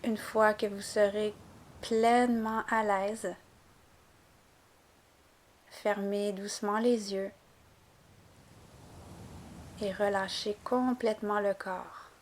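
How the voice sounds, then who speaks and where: Woman doing guided yoga nidra relaxation, really slow n gentle, calm, normal pitch. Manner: calm, gentle, unhurried instruction. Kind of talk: guided relaxation meditation narration.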